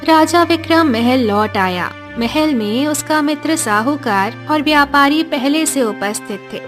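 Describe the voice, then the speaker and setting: A woman's voice: high-pitched, animated, unhurried. A woman reading scripted narration for a storybook cartoon, with music behind her. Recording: background music under the voice.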